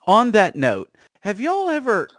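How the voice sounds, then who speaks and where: A man's voice: sits high, wildly swooping pitch, measured pace, a bit bright, no echo, studio quality. An American man co-hosting a comedy podcast, chatting casually in rambling, profane riffs that restart their phrases. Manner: rambling, repeats phrase openings, profane riffing. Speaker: podcast co-host. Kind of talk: casual comic chat.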